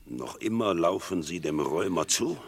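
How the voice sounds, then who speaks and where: An actor playing a proud, resentful commander in a classical radio play, measured and brooding, with a husky voice. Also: male voice, low-pitched, animated, exaggerated voice. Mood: brooding resentment.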